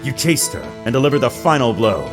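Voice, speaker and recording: A vain, self-satisfied witness in a game courtroom drama. He is pompous and flowery, with a mid-range voice that swoops theatrically over clear background music. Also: mid-range voice, sing-song, brisk. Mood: smug, dramatic.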